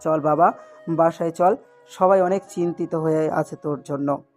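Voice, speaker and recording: Man acting an earnest, even-toned protective uncle. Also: on the high side, moderate pace, soft background music, natural voice. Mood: relieved, consoling. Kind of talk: Bengali audio love-story drama acting.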